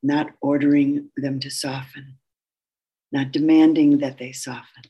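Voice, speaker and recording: A woman meditation teacher giving guided instructions in a gentle, unhurried way with long pauses and a husky, breathy voice. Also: rumbling low, little pitch movement.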